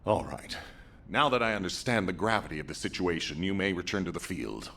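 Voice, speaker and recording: An actor playing a grave, authoritative male military commander in a game, formal and measured in his orders. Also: low voice, expressive, gravelly, bright, forceful tone, full character voice. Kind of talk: dramatic game cutscene acting.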